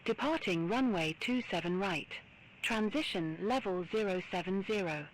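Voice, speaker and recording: A woman's voice: medium pitch, animated, normal speed, a crowd in the background, poor audio. A woman voicing an automated airport information broadcast in a formal announcement style, through a radio filter. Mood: impersonal, formal.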